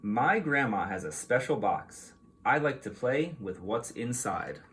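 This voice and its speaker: A man reading a picture book aloud to young children like a teacher, in an expressive, explanatory way. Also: medium pitch, measured pace.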